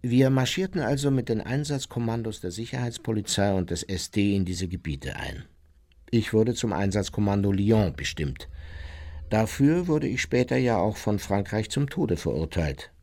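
An unrepentant war criminal giving testimony in a radio drama. His voice is fairly low and rings in a long hall reverb. He is blunt and matter-of-fact, coldly justifying himself. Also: lively intonation, normal speed, a little mellow. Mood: matter-of-fact, self-justifying. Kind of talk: German radio play, interview testimony.

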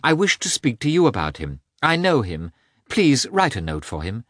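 A man's voice: mid-range voice, wildly swooping pitch, normal speed, a bit bright, no echo, studio quality, pitched above the performer's own voice. A man voicing an eager, gossipy society princess in a classic novel, insistent and keen to share news. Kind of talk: audiobook character voice in a classic novel.

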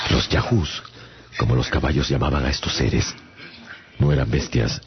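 A male actor playing an afflicted castaway traveler who tells his story earnestly and dramatically in a gravelly voice, in a radio drama. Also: very deep voice. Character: afflicted English castaway man recounting his strange voyages. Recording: dull sound under prominent music. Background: music.

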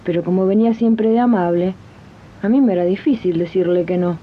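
An actress playing an exploited, fed-up domestic worker turned union organizer in an Argentine radio drama, plain-spoken and earnest. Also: female voice, fairly low pitch, a little fast, close to an everyday voice. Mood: resolute, aggrieved. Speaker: radio drama lead and narrator. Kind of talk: 1980s radio drama acting. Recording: dull, noisy 1980s archive radio tape.

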